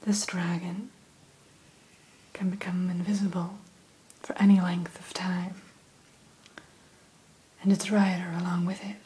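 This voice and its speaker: A woman whispering softly as a dragon hatchery keeper in an ASMR roleplay, gentle and a little formal, close to the mic. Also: on the low side, little pitch movement, rough recording. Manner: soft whisper throughout; gentle, unhurried, mildly formal.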